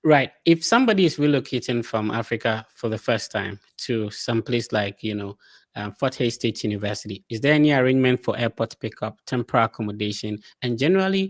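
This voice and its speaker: A man's voice: medium pitch, expressive, a little fast, dry recording. A man interviewing a guest, asking long, rambling questions and answering with short "yeah" and "mm-hmm". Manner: rambling questions, brief backchannel replies.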